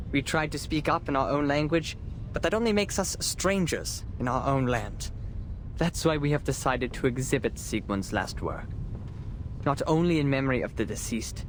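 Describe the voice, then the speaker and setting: A man's voice: a little high, slight background noise, close to an everyday voice. An actor playing a solemn, idealistic man giving a theatrical memorial speech in a grandiloquent, oratorical manner in a video game. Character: solemn eulogist, an idealistic man mourning his friend.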